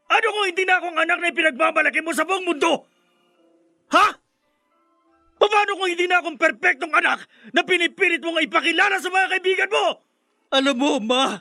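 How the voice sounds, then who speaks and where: An actor playing a resentful, defiant grown son in a Filipino radio drama, heated and worn out, with a Filipino accent. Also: male voice, very high-pitched, bright, forceful tone, dry recording, heavily acted. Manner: heated, emotional delivery.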